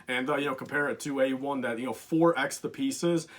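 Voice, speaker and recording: Fast-talking young man, rambling and eager. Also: medium pitch. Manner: rambling, lots of fillers, enthusiastic. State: losing his voice. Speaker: LEGO collector YouTuber. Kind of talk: casual countdown vlog.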